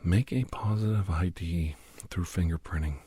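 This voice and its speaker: A man tells a horror story in breathy ASMR whispers, solemn, dramatic and deliberate, close to the microphone. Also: sits low, warm tone, dry recording. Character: male doctor solemnly recounting a werewolf horror tale.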